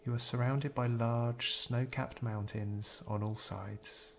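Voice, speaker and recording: Man narrating meditation with a creaky voice. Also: medium pitch, fairly even intonation. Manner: measured, soothing, gentle delivery with pauses. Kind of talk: scripted guided meditation narration.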